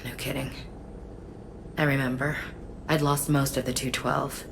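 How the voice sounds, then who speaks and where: A woman's voice: level tone, unhurried, noisy background, heavily acted. A woman acting a tough, plainspoken soldier in a video game, frank and weary. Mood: rueful. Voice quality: husky low, gravelly.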